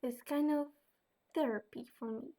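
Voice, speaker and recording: A woman's voice: high voice, fairly even intonation, moderate pace. A young woman from the Netherlands with a Dutch accent, answering viewer questions in a casual vlog, hesitant and full of fillers. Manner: hesitant, many fillers and soft asides.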